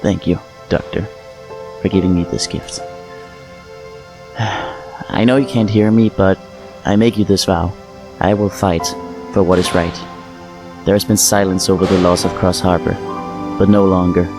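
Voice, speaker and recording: An actor playing a solemn, resolute fungal alien in an audio drama, speaking in a measured, halting, heartfelt way. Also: male voice, sits low, fairly even intonation, music in the background. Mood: moved, grateful. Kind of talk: full-cast audio drama acting.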